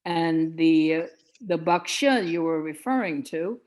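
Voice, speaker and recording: A woman in her seventies speaks unhurriedly, in a lowish voice. She rambles through a memory, circling back and restarting sentences. The sound comes from a video call. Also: measured pace, darkish tone. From United States. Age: 70s. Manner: meandering, restarts often. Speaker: guest. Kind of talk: casual storytelling.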